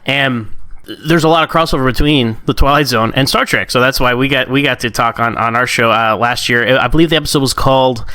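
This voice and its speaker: Bright, crisp-voiced enthusiastic young man. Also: mid-range voice, a little fast, no room sound. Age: early 30s. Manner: enthusiastic, chatty. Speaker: podcast guest and fellow podcaster. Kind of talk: casual fan chat.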